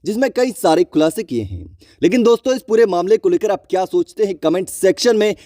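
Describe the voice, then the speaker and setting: Male news presenter, high voice, dry close sound, emphatic viewer-directed delivery. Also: expressive, a little fast, mellow, no echo. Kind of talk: online news commentary narration.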